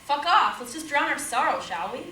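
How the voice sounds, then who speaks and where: An actress playing a fierce, foul-mouthed French warrior maid, defiant and fiery, in a stage play recorded in an echoey room from a distance. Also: female voice, high-pitched, big pitch swings, normal speed, noisy background.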